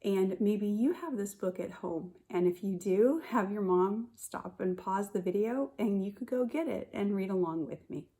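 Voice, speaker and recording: A woman kindergarten teacher reading a picture book aloud to young children in a simple, expressive way, speaking to them directly. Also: mid-range voice, moderate pace, hardly any pauses. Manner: addresses children directly with questions and prompts.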